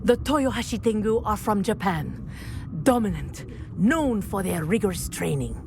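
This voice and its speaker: An actress playing a dignified, principled female professor in a game, speaking in a measured, precise, formal way. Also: medium pitch, animated, noisy background. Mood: proud, wistful. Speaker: professor and flying teacher. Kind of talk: scripted game dialogue, storytelling.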